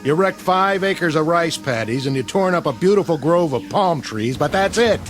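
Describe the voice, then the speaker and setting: An actor playing a tough, weary army sergeant who talks in a gruff, sarcastic way. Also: male voice, a little high, animated, moderate pace, lightly acted. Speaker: actor in a war drama. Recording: old TV soundtrack with music.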